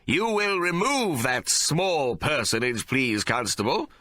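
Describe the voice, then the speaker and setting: A man's voice: mid-range voice, lively intonation, sharp tone, dry recording, voice pushed up, exaggerated voice. A man playing a pompous stern judge. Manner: slow, pompous, measured. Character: stern older male judge, pompous and commanding.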